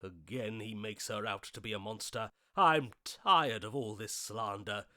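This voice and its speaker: Slow, melodramatic lovesick romantic hero. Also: male voice, fairly low pitch, pushed and bright, dry recording, studio quality. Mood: indignant, bitter. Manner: theatrical, florid, archaic declamation. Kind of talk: video game voice acting.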